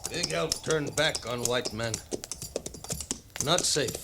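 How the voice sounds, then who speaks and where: A man playing a wary, solemn Sioux guide in 1950s western radio acting, speaking halting, clipped broken English. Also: mid-range voice, vocal fry. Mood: wary, fearful. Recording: noisy 1950s radio drama recording.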